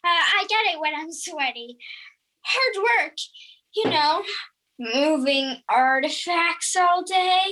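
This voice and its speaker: Girlish woman playing a jittery, very high-voiced young worker. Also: expressive, sharp tone, exaggerated voice, low-quality recording. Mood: flustered. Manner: halting, jittery. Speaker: student performer. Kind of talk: audio drama acting. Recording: music over the voice.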